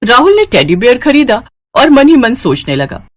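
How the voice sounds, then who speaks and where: Expressive woman narrating a story. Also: fairly high pitch, moderate pace.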